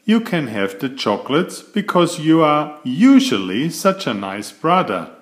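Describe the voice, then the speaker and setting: A man putting on a sugary high little girl. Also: sing-song, measured pace. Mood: sweet. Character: young girl, sugary sweet pink-loving heroine.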